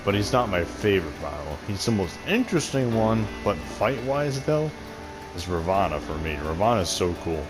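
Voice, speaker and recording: Deep-voiced man chatting over game music. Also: sing-song, normal speed, poor audio. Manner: profane, rambling, laughs often. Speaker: gaming video host. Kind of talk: casual game commentary, reading quest text aloud.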